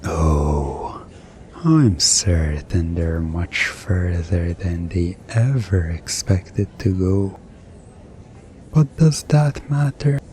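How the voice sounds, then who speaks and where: A man performing a hypnosis ASMR roleplay as a hypnotist, speaking in a soothing, deliberate, hypnotic way with pauses. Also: low-pitched, darkish tone, a little hiss or hum.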